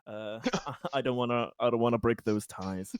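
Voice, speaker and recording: A man's voice: medium pitch, fairly even intonation, normal speed, clear, resonant tone, no room sound. A man playing a tabletop roleplaying game, chatting casually in hesitant, stop-and-start phrases and easily amused. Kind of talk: casual improvised game chat.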